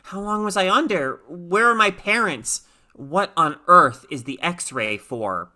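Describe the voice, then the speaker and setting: A woman's voice: lowish voice, expressive, takes it slowly, sharp tone, pitched above the performer's own voice, exaggerated voice. A woman voicing a frightened, bewildered teenage girl in a story reading, urgent and questioning. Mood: anxious, urgent.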